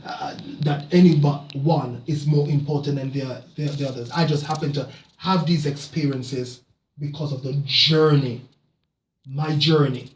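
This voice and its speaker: A man from Jamaica shares his story in a reflective motivational talk. His voice sits a little high and comes from a distance, in a room with plenty of echo. He stumbles through fillers and false starts, then builds momentum with emphatic repetition. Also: steady tone, unhurried. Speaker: motivational speaker. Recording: echoey room, off-mic.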